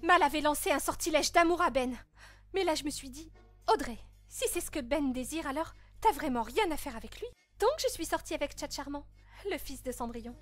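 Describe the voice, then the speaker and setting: Woman playing haughty teen princess, high voice, sassy and dramatic, spoiled and jealous, fan-dub narration, faint music behind. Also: expressive, brisk. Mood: smug, dismissive.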